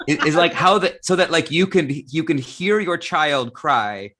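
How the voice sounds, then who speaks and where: A man's voice: a little high, expressive, measured pace, noisy background. A man interviewing guests in a casual live conversation, explaining ideas and often checking in with "right?". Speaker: interviewer in a theatre discussion.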